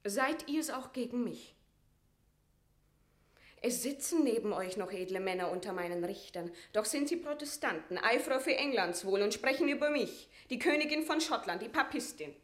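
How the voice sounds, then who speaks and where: A woman's voice: on the high side, animated, normal speed, lightly acted. An actress playing a proud captive Scottish queen in a classical radio drama, declaiming formal verse with eloquent, impassioned defiance. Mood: measured, reproachful. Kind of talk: classical German stage verse radio acting.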